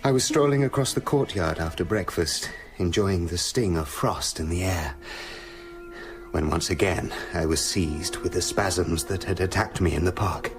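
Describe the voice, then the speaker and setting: An actor with a British accent playing a tormented scientist with a sinister other self, speaking formally and intensely in a radio play. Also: male voice, deep voice, moderate pace, soft background music, lightly acted. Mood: weary, haunted. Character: tormented male scientist slipping into his menacing, sinister alter ego. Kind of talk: dramatic radio play acting, confessional monologue.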